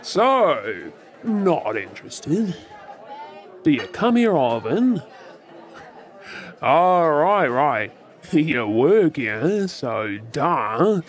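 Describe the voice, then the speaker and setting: A man's voice: on the high side, very animated, exaggerated voice. Man acting a swooping, tipsy Australian flirt. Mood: flirty, mock-innocent. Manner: teasing, drawling, halting with hesitations. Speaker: audio roleplay performer. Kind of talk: ASMR audio roleplay, flirty monologue to the listener. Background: faint bar crowd chatter.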